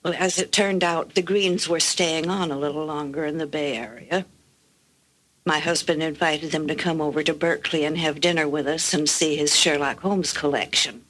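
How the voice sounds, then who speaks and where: A woman's voice: deep voice, normal speed, fairly bright tone, low-quality recording. An older woman, a guest speaker, giving a prepared personal reminiscence.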